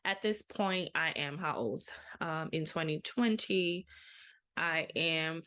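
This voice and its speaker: A woman in her thirties hosting a podcast, telling a personal story in a casual, chatty way with lively quoted asides. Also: low voice, slow. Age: 30s. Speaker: podcast host. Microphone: podcast microphone.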